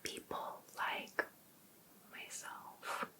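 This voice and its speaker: A woman playing an obsessive, sweetly pushy life coach in an ASMR roleplay, whispering breathily in a coaxing, deliberate way.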